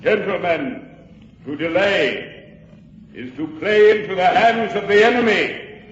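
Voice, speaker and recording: A very high-pitched male orator declaims slowly and sternly in a reverberant hall. He leaves weighty pauses in his radio-play speech. The vintage recording is dull. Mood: impassioned, scornful. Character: impassioned, stern congressional orator urging independence. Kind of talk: 1940s radio acting of a speech.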